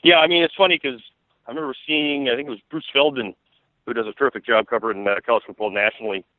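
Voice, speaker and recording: A man's voice: medium pitch, fast talker, no room sound. A man giving casual sports analysis as an interview guest, thinking aloud in a rambling, conversational way. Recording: tinny, dry phone-like radio line.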